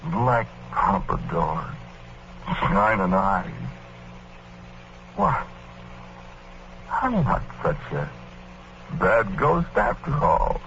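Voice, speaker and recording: Slangy, menacing gangster ghost, swooping delivery. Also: male voice, low-pitched, very animated, gravelly, a bit bright, slight background noise, full character voice. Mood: musing, storytelling. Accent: American. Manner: deliberate, colloquial tough-guy talk. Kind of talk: 1940s radio acting, first-person narration. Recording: dull vintage radio recording, music behind.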